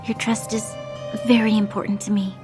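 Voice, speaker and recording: A woman's voice: medium pitch, flat delivery, moderate pace, music in the background, lightly acted. A woman voicing an earnest, dutiful young noblewoman and officer in a video game, speaking formally and solemnly. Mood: solemn, resolute. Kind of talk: dramatic video game voice acting.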